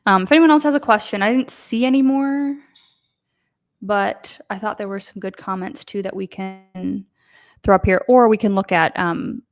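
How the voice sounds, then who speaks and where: A woman's voice: mid-range voice, normal speed, no echo. A woman hosting a casual live online show, chatty and rambling with many fillers. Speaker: host. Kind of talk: casual livestream chat.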